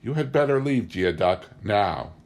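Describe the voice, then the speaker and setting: A man's voice: fairly low pitch, expressive, a little slow, gravelly, a little mellow. A man voicing a young farm wife, fierce but frightened, giving stern, curt commands in a book reading.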